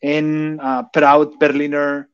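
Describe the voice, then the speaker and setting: A man in his thirties with a Catalan Spanish accent, speaking in a fairly high voice. He presents a technical topic in a halting way, full of fillers and questions to the audience. The sound is dull and dry, like a lo-fi call mic. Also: monotone, smooth, dry recording. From Barcelona, Catalonia. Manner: hesitant, many fillers, asks rhetorical questions. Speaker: conference speaker.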